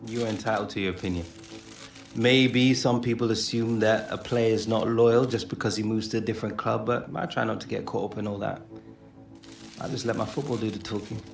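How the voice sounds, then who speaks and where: A man playing a modest, determined eighteen-year-old footballer, speaking in measured phrases in a game cutscene. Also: lowish voice, steady tone, creaky. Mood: guarded. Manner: measured, modest interview answers. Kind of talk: video game cutscene acting. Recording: echoey room with faint music.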